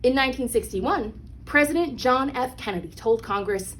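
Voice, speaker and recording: Higher-voiced woman reading a children's book aloud. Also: expressive, moderate pace, fairly bright tone, low-quality recording. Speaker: read-along storyteller. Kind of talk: expressive children's picture book read-aloud. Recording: clear background noise.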